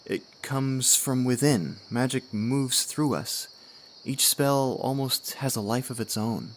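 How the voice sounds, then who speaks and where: A man's voice: mid-range voice, slow, lightly acted. Audio drama part: eager young unicorn mage, male actor, sincere explainer, medium register, faintly noisy recording. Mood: friendly, explaining. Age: young adult. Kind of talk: fan-made radio drama acting.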